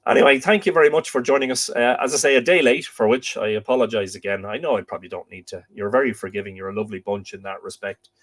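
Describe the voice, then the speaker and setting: A middle-aged man from Ireland, croaky from a chest infection, chatting casually as host of a live stream and rambling with lots of fillers and restarts. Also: on the low side, fast, few pauses.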